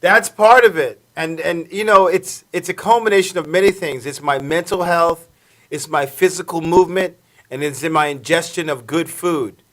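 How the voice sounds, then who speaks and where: A middle-aged man co-hosts a casual live show, with a slightly high voice. He talks in an upbeat, chatty way and laughs easily. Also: normal speed, a bit bright. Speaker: co-host.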